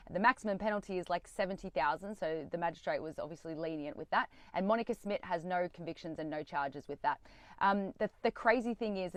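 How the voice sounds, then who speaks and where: A woman's voice: lowish voice, no room sound. A woman speaking outspokenly and with emphasis, answering interview questions and giving a direct update. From Australia. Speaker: campaigner being interviewed.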